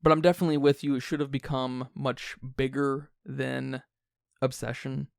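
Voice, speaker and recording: Creaky-voiced man discussing film. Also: medium pitch, little pitch movement, moderate pace, no room sound, clean studio sound. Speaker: podcast co-host.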